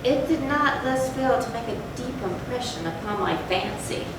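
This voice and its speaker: A guilt-tormented gothic narrator played by a woman speaks in a fairly high, theatrical voice. The delivery is deliberate and emphatic, as in a staged horror recitation. It carries from far off through a very echoey, noisy room. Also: expressive, lightly acted. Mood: awed, uneasy. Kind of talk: dramatic readers-theatre recitation of a gothic story. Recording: very echoey stage, distant mic, noisy rumble.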